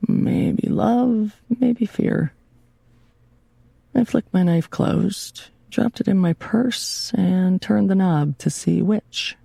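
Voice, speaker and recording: A woman narrator playing a hard-edged female private detective in a first-person noir audiobook, with a gravelly, husky voice. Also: medium pitch, takes it slowly, mellow, no echo, exaggerated voice. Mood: moody, brooding.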